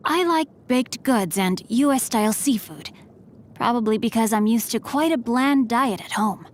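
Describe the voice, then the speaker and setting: A woman's voice: on the high side, normal speed, slight background noise, natural voice. A woman voicing a courteous young swordsman-scholar. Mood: polite, composed. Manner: polite, formal and gently teasing. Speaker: game character voice lines. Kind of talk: scripted game voice lines.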